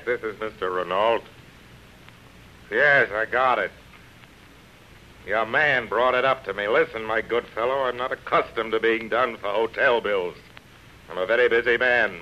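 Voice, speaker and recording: A forceful, sharp-edged voice of a vain, imperious matinee star. He is haughty and touchy in old radio drama style, on a muffled, hissy 1930s recording. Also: lowish voice, moderate pace. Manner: pompous, haughty.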